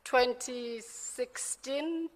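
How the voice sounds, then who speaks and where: A woman in her forties from Zimbabwe, answering formal interview questions in a measured, careful way and often correcting herself. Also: sits high, slight background noise. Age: 40s. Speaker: judicial candidate being interviewed. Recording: reverberant hearing room.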